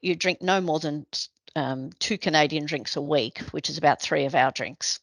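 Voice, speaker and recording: A woman speaking over a video call, her voice low and a little gravelly. She explains plainly and practically, dotting her talk with "you know" and "um". The sound is close and dry. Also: normal speed, no echo. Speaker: presenter, addiction medicine specialist. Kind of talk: webinar presentation.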